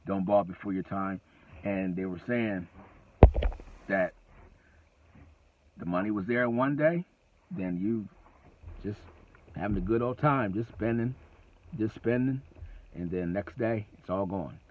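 A man's voice: on the low side, measured pace, pauses often. A middle-aged man giving casual, emphatic advice in a trucking vlog, talking from his parked car and repeating phrases for emphasis. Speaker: trucking vlogger. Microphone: phone camera at arm's length. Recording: tinny phone-like sound.

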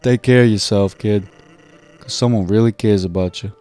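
A man playing a video game on a let's play, reading a character's dialogue aloud in a relaxed, jokey way. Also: fairly low pitch, moderate pace. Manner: laid-back, jokey, reads lines aloud. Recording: faint game music behind.

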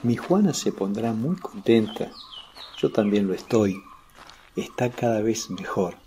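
An actor playing a deferential, grateful husband who serves at a royal court, respectful and earnest, in a religious radio drama. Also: male voice, fairly low pitch, expressive, unhurried, warm tone, slight background noise. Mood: glad, grateful.

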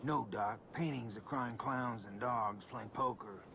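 An actor playing a macho, wisecracking, hard-bitten commando with terse deadpan one-liners in a tongue-in-cheek action game. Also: male voice, mid-range voice, measured pace, gravelly, background noise. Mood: deadpan cool. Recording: loud game music over voice.

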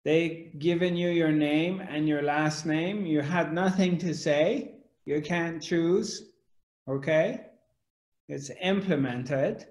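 Guy in his 40s doing a spiritual talk on webcam, slow and probing, bit high voice, roomy echo. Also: a little mellow. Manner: questioning, gestures broadly with both hands. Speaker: spiritual teacher. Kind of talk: spiritual discourse to camera. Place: home room indoors. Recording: echoey room.

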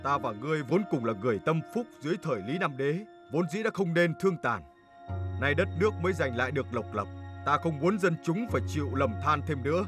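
An actor playing a dignified, magnanimous warrior king, speaking formally in an animated historical drama. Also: on the high side, over music, lightly acted. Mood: dignified, earnest. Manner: formal, measured.

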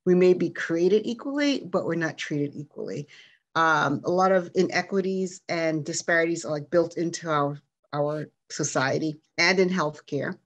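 A woman's voice: low-pitched, normal speed, muffled recording. A middle-aged woman, a nurse, speaking reflectively in a panel discussion on a video call. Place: plain room indoors. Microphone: computer mic on a video call.

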